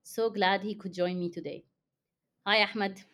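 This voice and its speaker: A woman's voice: medium pitch, steady tone, a little slow, a bit bright. A woman hosting a podcast, speaking in a conversational interview style. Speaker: podcast host.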